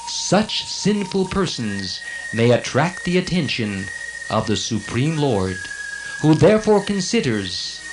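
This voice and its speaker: An actor playing a righteous celestial servant of God in a dramatized scripture audiobook, arguing earnestly in a formal, declamatory way. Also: male voice, medium pitch, rough recording.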